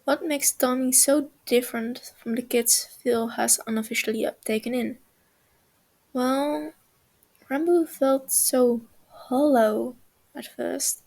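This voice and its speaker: A woman reading fan fiction aloud, softly and unhurried, sometimes dropping to a whisper. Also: fairly high pitch, gravelly, poor audio. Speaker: story reader.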